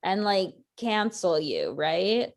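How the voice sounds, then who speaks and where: A woman's voice: medium pitch, very animated, slow pace, vocal fry, full character voice. A woman acting a blunt, sarcastic ex-girlfriend in her thirties in a conversational comedy script reading. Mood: sarcastic, testing.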